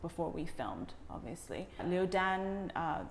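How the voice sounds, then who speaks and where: A woman giving reflective interview answers as a documentary director, in halting, hesitant phrases. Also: lowish voice, sing-song. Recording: echoey reverberant room with faint noise.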